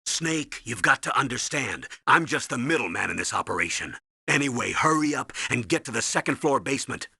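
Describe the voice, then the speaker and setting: A man's voice: medium pitch, expressive, a little fast, gravelly, bright, forceful tone, breathy edge, no echo, clean studio sound, full character voice. A man playing a stern older American military colonel in a video game, giving clipped, authoritative mission briefings. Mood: urgent.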